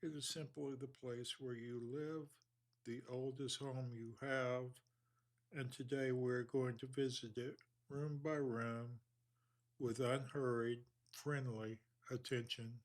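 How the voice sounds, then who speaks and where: Man, guided meditation, very slow pace, flat even pitch, soothing, measured, long pauses. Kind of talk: guided body scan meditation narration.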